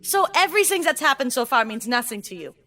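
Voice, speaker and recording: A high-pitched, indignant eighteen-year-old girl played by a woman in a tabletop adventure. She argues stubbornly and sarcastically, her pitch swinging widely, a little away from the mic. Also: big pitch swings, fast, sharp tone, far from the mic, faint music behind, exaggerated voice. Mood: hurt, defiant. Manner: argumentative, sarcastic.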